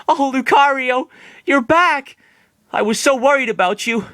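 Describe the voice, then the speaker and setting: A man voicing a bubbly, excitable young woman in a raised, gushing voice. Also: unhurried, no room sound, studio quality, heavily acted. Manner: high and gushing.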